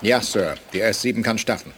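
A man's voice: lowish voice, fairly quick, noisy background, close to an everyday voice. An actor playing a dutiful male major commanding a small spaceship in an audio drama, with clipped military manner. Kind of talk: 1980s German audio drama acting.

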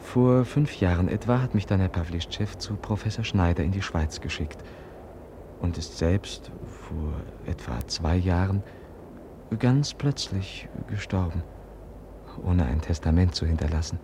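An actor in a 1950s German radio play, playing a frail, candid young prince, polite and earnest, with hesitant pauses. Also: male voice, sits low, expressive. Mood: pensive, hesitant. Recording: noisy 1950s radio recording.

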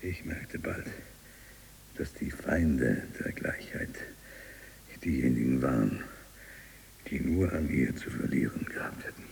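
An actor playing an embittered imprisoned libertine writer in a radio drama monologue, gravelly and breathy at times, brooding and deliberate in delivery. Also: male voice, a bass voice, darkish tone, whispered, full character voice, rough recording. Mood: bitter, confiding.

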